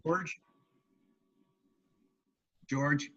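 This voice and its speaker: Over muffled, band-limited call audio, a man with a fairly high voice puts questions as a sports reporter in a press conference style. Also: fairly even intonation, very slow, pushed and bright, breathy edge.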